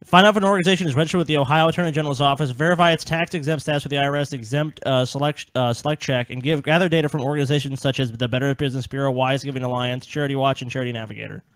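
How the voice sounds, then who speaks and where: A man's voice: mid-range voice, rapid-fire, few pauses, no room sound. A man co-hosting a podcast in casual panel chat, teasing and swearing as he goes. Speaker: podcast co-host.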